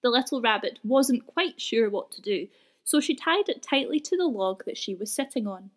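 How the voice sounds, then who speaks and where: A woman reading a children's story aloud in an expressive, deliberate way. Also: fairly high pitch. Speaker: storybook reader.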